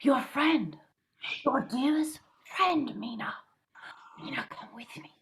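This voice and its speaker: An actress in a radio play voicing an entranced undead vampire woman, hushed, eerie and drawn-out. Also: sits high, slight background noise, heavily acted. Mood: luring.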